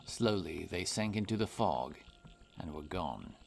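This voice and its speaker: A man's voice: low-pitched, expressive, a little slow, creaky, slight background noise. A man giving scripted narration of a fantasy story as its narrator.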